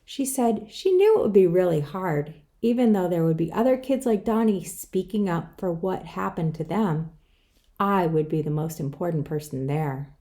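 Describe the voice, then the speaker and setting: A woman's voice: lowish voice, lively intonation, moderate pace, mellow. A woman author reading her own children's book aloud, telling it in the first person. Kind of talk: scripted children's story read-aloud.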